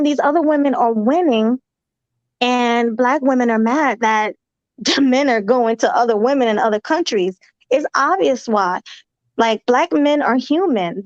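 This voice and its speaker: A woman's voice: a little high, no room sound. A woman hosting a casual chat, talking conversationally and laughing between her points. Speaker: host. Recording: dull, lo-fi audio.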